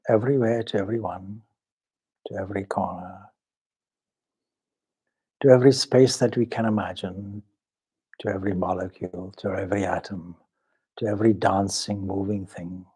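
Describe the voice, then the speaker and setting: A man leading a guided meditation as a swami, speaking solemnly and measuredly in short phrases with long pauses. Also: lowish voice, steady tone, a little mellow, slightly rough. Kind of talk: spiritual talk and guided meditation.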